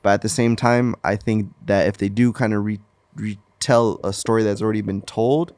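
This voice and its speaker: Male podcast co-host, lowish voice, hesitant conversational phrasing, dry sound. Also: steady tone, moderate pace, darkish tone, smooth, no room sound. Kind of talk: casual discussion of games and TV.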